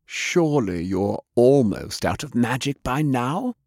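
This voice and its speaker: A menacing female ninja assassin in an action audiobook, performed by a man. The voice sits higher than his own, haughty and formal, with cold condescension. The recording is dry. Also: big pitch swings, a little slow, darkish tone, dry recording. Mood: haughty, hissing. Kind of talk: audiobook character acting.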